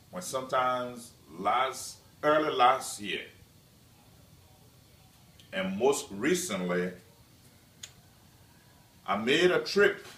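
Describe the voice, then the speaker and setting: A man from Liberia giving a prepared class speech as a student, in a slow, measured delivery with deliberate pauses. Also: medium pitch, fairly bright tone. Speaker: student presenter. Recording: echoey room, mic at a distance.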